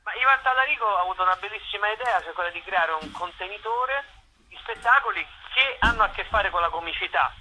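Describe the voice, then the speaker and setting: Very sharp, bright man, faint noise. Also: high-pitched, expressive, fast talker, rough recording. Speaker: actor and playwright being interviewed. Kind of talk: conversational interview, explaining own show.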